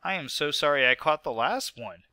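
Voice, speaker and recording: A man's voice: a little high, lively intonation, moderate pace, bright, forceful tone, no room sound. A young man with a stuffy nose, sick, talking through his gameplay casually with rambling asides and fillers. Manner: rambling, self-mocking, lots of fillers. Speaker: gaming video host.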